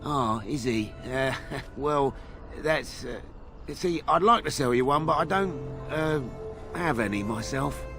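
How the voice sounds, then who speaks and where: An actor playing a hapless, defensive scavenger in a video game, rambling and griping in a colloquial way. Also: male voice, mid-range voice, lively intonation, takes it slowly, bright, forceful tone, soft background music, heavily acted. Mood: nervous, grumbling. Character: shifty, grumbling male scavenger, hapless and defensive. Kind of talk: video game character dialogue.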